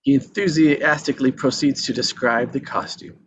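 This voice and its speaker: A man's voice: mid-range voice, steady tone, normal speed, muffled recording. A man reading stage directions as scripted narration at a live table read. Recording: faint background noise.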